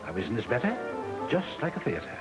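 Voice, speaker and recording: A man's voice: medium pitch, wildly swooping pitch, quick pace. A man acting in a 1930s film as a middle-aged, self-satisfied, lecherous radio sponsor, talking in an oily, coaxing way. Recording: 1930s film soundtrack with music.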